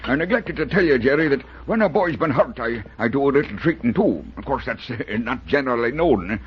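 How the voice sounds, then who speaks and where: An actor playing a kindly grown-up soda shop keeper in 1940s radio style, folksy and hesitant, repeating himself. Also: male voice, medium pitch, animated, fairly quick, close to an everyday voice. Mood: genial, teasing. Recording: noisy, dull old radio recording.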